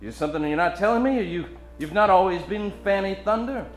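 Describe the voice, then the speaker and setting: A man's voice: sits high, animated, fast, echoey room, faint music behind. A man playing a wisecracking, hard-drinking private detective with joking hard-boiled patter in a live comedic radio play. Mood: demanding.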